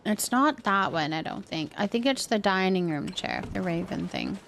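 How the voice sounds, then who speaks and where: A woman's voice: medium pitch, expressive, moderate pace, vocal fry, a bit bright, slight background noise. A woman chatting casually as she hosts a game livestream, thinking aloud and reacting to viewers. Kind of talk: casual chat while playing a building game, reacting to viewers.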